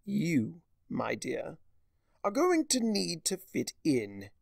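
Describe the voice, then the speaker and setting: A man's voice: fairly high pitch, huge pitch swings, slow, gravelly, dry recording, studio quality, voice pushed up, exaggerated voice. A man voicing a gleefully mad, theatrical spirit of chaos who lectures in a smug, mock-cheerful way, in a story reading. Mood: smug menace.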